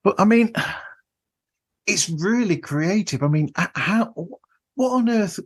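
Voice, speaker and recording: A man hosting a podcast, chatting casually and effusively with halting phrasing. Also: high voice, expressive. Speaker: podcast host. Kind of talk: casual interview.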